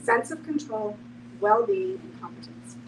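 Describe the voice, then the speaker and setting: A woman's voice: fairly high pitch, animated, unhurried. A woman earnestly explaining to a discussion group in a conversational way, with everyday examples. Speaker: end-of-life educator in a group discussion. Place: echoey room, away from the microphone. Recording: roomy, distant microphone, clear background noise.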